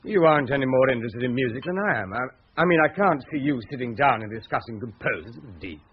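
A muffled radio drama recording of an obsessive husband. His husky voice is very animated as he presses his questions with a researcher's pedantry and open suspicion. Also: mid-range voice, quick pace. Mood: defensive.

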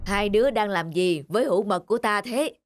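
A woman voicing a stern but kindly old grandfather bear in a children's cartoon, with a measured, admonishing manner. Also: mid-range voice, lively intonation, music in the background, close to an everyday voice. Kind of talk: Vietnamese children's cartoon dubbing.

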